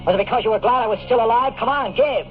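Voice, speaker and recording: An actor playing a wisecracking, cynical private detective in 1950s radio drama style, with slangy, tough, sardonic delivery. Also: male voice, very high voice, very fast. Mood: pressing, sardonic. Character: wisecracking hard-boiled male private detective, weary and cynical. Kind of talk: 1950s radio drama acting, noir first-person narration. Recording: tinny old-time radio recording, music.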